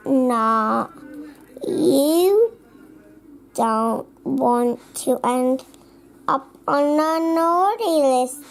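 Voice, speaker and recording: A child reading a children's picture book aloud, halting word by word and sometimes repeating phrases. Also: high voice, vocal fry. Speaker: young storybook reader.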